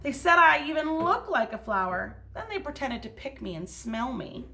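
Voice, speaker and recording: A woman's voice: high voice, big pitch swings, moderate pace, pushed and bright, a little hiss or hum, full character voice. A woman voicing a sad, self-conscious little girl from a storybook, in a downcast manner. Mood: miserable.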